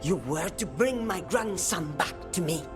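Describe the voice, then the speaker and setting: A stern, elderly matriarch from a video game. She speaks slowly and commandingly, with strong swings in pitch. Her manner is imperious and accusatory, over clear background music. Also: lively intonation. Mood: accusing, cold. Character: stern, imperious elderly grandmother, head of an assassin family. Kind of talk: video game character acting.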